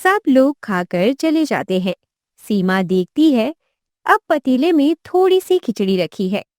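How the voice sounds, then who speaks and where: A woman's voice: sits high, sing-song, measured pace, a little mellow. A woman narrating a scripted moral tale for a cartoon, as a storyteller.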